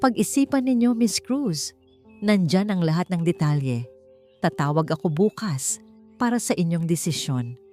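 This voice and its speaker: A formal, unemotional male lawyer read by a woman narrator in a romance audio story. The delivery is direct and businesslike, slightly low, over a music bed. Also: expressive, moderate pace, mellow, close to an everyday voice. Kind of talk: storybook character dialogue.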